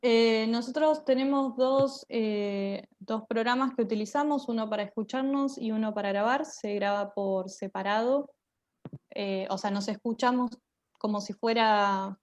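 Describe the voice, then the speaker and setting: A woman gives conversational panel answers, reflective and often rephrasing as she explains. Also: mid-range voice. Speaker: theatre group member. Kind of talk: conversational panel answers about creative work.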